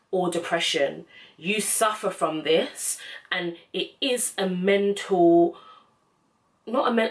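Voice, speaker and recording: A young woman gives casual, encouraging advice in a slangy, informal vlog style. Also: mid-range voice. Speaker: self-help vlogger.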